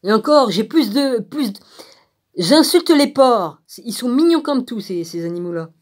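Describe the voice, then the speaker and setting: A woman's voice: a little high, lively intonation, normal speed. Blunt, irritated woman ranting informally. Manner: blunt, irritated, crude colloquial speech; sarcastic, punctuates phrases with rhetorical tags. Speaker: vlogger. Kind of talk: rambling informal rant.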